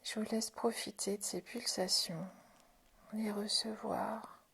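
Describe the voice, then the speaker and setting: A woman leading a guided self-help practice as an instructor, speaking informally in a gentle, reassuring way, with a breathy voice that often drops toward a whisper. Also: medium pitch, steady tone, takes it slowly. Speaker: Jin Shin Jyutsu self-help instructor.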